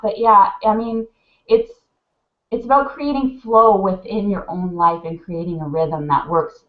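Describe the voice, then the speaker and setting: A woman mentor, sniffly from crying, gushing and rambling with lots of fillers while giving casual advice on a live video call. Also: on the low side. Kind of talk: heartfelt casual advice chat. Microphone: webcam on a video call. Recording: roomy, dull webcam audio.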